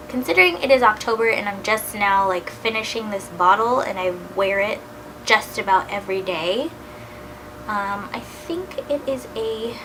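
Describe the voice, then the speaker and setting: A woman in her thirties talks through a makeup tutorial at home. Her voice is gravelly and a little nasal from a cold. Her talk is casual and chatty, now and then dropping to a soft near-whisper, and there is steady noise behind her. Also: mid-range voice. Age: 30s. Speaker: beauty vlogger.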